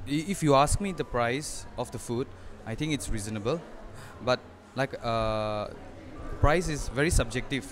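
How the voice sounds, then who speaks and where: A young man, a restaurant owner, answering questions in an on-camera news interview, earnest and halting with frequent restarts. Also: medium pitch, a crowd in the background. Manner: hesitant, restarts often, earnest. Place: indoor restaurant dining area. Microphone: handheld reporter mic.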